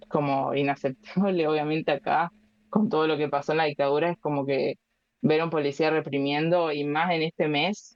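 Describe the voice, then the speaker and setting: A woman in her twenties with an Argentine Spanish accent, a guest speaking casually and thoughtfully, with many pauses and fillers. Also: sits low, darkish tone, no echo, lo-fi sound. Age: 20s. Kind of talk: casual interview chat.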